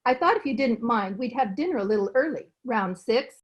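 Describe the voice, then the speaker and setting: A woman's voice: a little high, lively intonation, a little fast, dull audio, lightly acted. A woman playing a folksy, outspoken household cook in an old-time radio comedy, colloquial and plain-spoken. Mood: coaxing.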